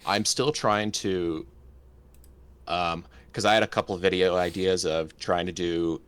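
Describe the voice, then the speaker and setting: A man's voice: sits low, fairly even intonation, a little slow, a bit bright, clear, resonant tone. A man chatting casually as a podcast co-host. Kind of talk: casual chat.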